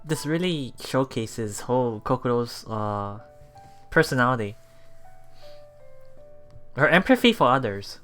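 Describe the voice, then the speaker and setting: A let's play host casually commenting on a visual novel and reading its lines aloud in a halting, chuckling way. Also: medium pitch, big pitch swings, a bit bright. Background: visual novel background music.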